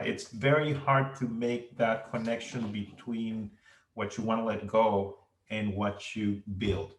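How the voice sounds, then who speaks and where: A low-pitched man speaks haltingly, restarting his sentences and leaning on fillers. He sounds set back from the mic in an echoey room. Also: distant mic. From Venezuela. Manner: hesitant, many restarts and fillers. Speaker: ophthalmologist guest. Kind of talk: casual interview talk.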